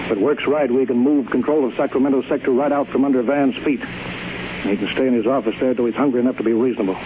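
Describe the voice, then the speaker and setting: An actor playing a harried, commanding chief engineer who barks brisk orders in an old-time radio drama. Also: male voice, medium pitch, fairly even intonation, lightly acted. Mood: grim resolve. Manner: brisk, authoritative, urgent, barking orders. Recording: noisy, muffled old radio broadcast.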